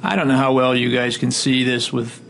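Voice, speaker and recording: A man giving informal, step-by-step instructional narration as a software tutorial instructor, with a gravelly voice. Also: mid-range voice, moderate pace. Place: indoor voice-over for a screen recording. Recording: faint background noise.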